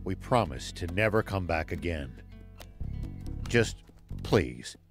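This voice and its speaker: A weary old soldier for a video game. His voice is low, with expressive swings, and he speaks formally and earnestly, calm and resolute, with music playing behind him. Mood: grave, sincere. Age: older. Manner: measured, formal, gravely earnest. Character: weary, noble old former army general, calm and resolute.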